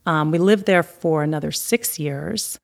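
A woman's voice: deep voice, measured pace, creaky. A middle-aged woman from Taiwan and Long Island telling her family story as an interview guest, chatty and laughing. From born in Taiwan, raised on Long Island.

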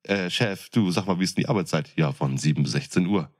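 A man's voice: low-pitched, fast. A man speaking colloquial speech in a blunt, coarse, emphatic way, arguing his point in a casual podcast chat. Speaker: podcast co-host, experienced window fitter. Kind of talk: casual chat, opinionated argument.

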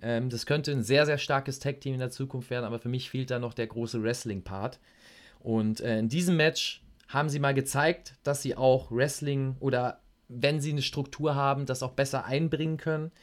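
A man's voice: medium pitch, steady tone, brisk. Male co-host, critical wrestling analyst, casual talk with hesitant "ähm"s. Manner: critical, lays out reasoning, hesitant fillers. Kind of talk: casual opinion chat.

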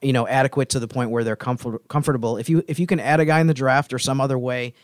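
A man's voice: mid-range voice, fairly even intonation, fast, no echo, studio quality. A man on a podcast panel giving conversational sports analysis in an explanatory, reasoned manner.

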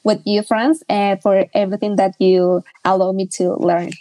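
A Colombian woman in her twenties speaks English carefully, pausing on fillers. Her voice sits in a middle pitch. It sounds dry and close over a video call. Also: flat delivery, warm tone, no room sound. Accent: Colombian Spanish. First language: Spanish. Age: 20s. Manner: careful, unhurried, frequent fillers. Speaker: closing coordinator.

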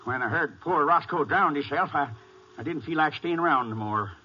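An actor playing an evasive, indignant rustic farmer with a Southern accent, protesting in folksy, ungrammatical talk in a 1950s radio western. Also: male voice, on the high side, lively intonation, normal speed, bright, forceful tone. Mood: defensive, evasive. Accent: American South. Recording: muffled old radio, faint noise.

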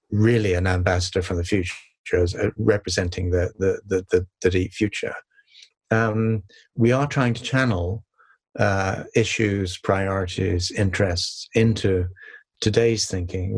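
An older man with a calm voice, answering interview questions in a measured, reflective way with many pauses and restarts. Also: on the low side, slightly rough. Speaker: sustainability consultant and author, guest.

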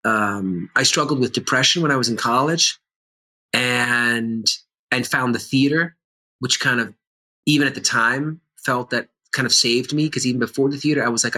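Man, middle-aged, bright tense voice, animated, earnest, interview chat. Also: mid-range voice. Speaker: actor and course teacher. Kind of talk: conversational interview.

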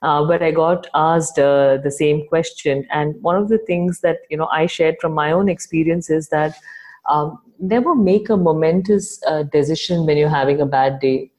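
Low, mellow-voiced woman. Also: normal speed. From Chennai, India. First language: Tamil. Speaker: entrepreneur guest. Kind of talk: podcast interview answers.

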